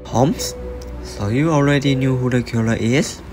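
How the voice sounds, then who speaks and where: A man plays a loyal, careful detective's assistant in a radio drama. His medium-pitched voice reasons hesitantly and deferentially, with music clearly behind it. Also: measured pace, natural voice. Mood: doubtful. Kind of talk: student English radio drama acting.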